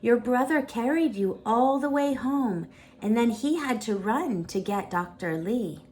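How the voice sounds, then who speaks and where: Storybook grandma, elderly, gentle and caring, slightly raised pitch; read by a woman. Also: lively intonation, a little slow. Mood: soft, relieved. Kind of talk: storybook character voice.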